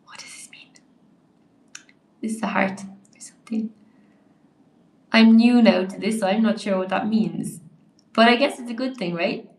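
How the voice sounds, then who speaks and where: A young woman teaching a live online English lesson, a little hoarse, praising viewers by name as she explains. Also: on the high side, moderate pace, long pauses, a little mellow, echoey room. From Ireland.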